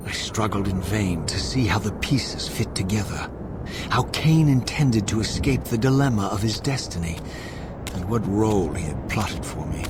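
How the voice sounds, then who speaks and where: A man playing a proud, bitter, resurrected wraith in a video game, speaking eloquently in a measured, brooding, disdainful manner. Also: on the low side, very noisy. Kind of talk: dramatic video game voice acting.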